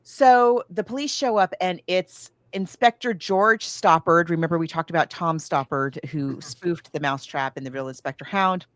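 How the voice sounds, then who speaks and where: Low-voiced woman, casual and emphatic. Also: moderate pace, hardly any pauses, dry recording. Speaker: podcast co-host. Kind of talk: casual film discussion, recapping a plot.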